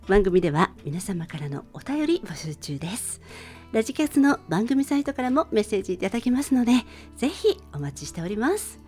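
A woman's voice: mid-range voice, very animated, a little fast, a little mellow, faint music behind. A woman hosting a radio show, speaking politely as she presents and signs off. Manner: polite, formal speech. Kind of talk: radio show sign-off and chat.

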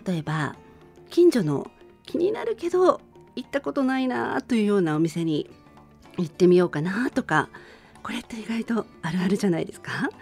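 Lively woman hosting bedtime radio. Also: mid-range voice, vocal fry. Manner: polite, listener-addressing, conversational. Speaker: radio show host and band vocalist. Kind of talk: gentle bedtime radio chat.

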